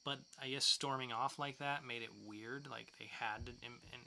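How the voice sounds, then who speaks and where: A man's voice: mid-range voice, steady tone, normal speed, creaky, bright, forceful tone, slight background noise. A man co-hosting a podcast in casual banter, speaking loosely and swearing freely. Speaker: podcast co-host.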